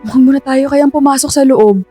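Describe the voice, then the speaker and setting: Woman acting as high-voiced anxious girlfriend. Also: a little fast, warm tone, soft background music, lightly acted. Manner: hesitant, pleading. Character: anxious, hesitant young girlfriend, sweet and pleading. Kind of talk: Filipino radio drama acting.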